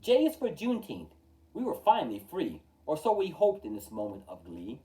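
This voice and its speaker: A man reads a rhyming picture book aloud as a storytime host. His delivery is very animated and theatrical, starting phrases with emphasis and letting them fall at the end. The tone is mellow, and he sounds a little far from the microphone. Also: on the high side. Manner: theatrical, measured delivery with emphatic openings and falling line endings. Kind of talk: expressive children's picture-book read-aloud in rhyme.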